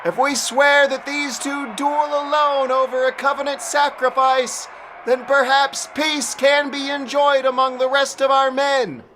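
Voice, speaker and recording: A man's voice: very high-pitched, flat delivery, measured pace, background noise. A man playing a proud warrior of ancient Greek epic, addressing armies in formal, declamatory oratory for an audio drama. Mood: loud, proclaiming.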